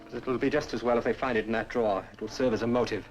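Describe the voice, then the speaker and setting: An actor playing a testy, conniving nephew in a radio drama, formal and curt. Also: male voice, medium pitch, steady tone, rapid-fire, muffled recording, faint music behind, close to an everyday voice. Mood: cold, exasperated. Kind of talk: old-fashioned radio drama acting.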